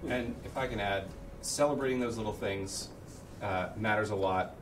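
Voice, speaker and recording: A man's voice: on the low side, normal speed, slight background noise. A man on a livestream panel giving casual, encouraging advice, picked up from a distance. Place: indoor livestream studio. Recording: distant from the microphone. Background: music in the background.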